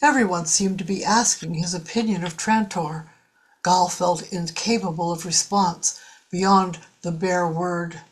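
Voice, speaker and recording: A woman's voice: on the low side, fairly even intonation, unhurried, rough recording. A woman reading scripted narration for an audio drama.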